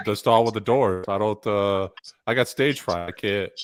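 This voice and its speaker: A man's voice: fairly low pitch, moderate pace, clean tone. A man on a live stream panel, chatting casually and making wisecracks. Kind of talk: casual joking chat.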